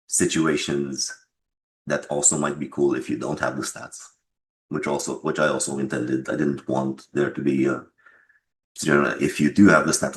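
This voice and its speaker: A man's voice: very deep voice, a little hiss or hum. A man speaking as an interviewed game developer, explaining his choices thoughtfully in a casual way.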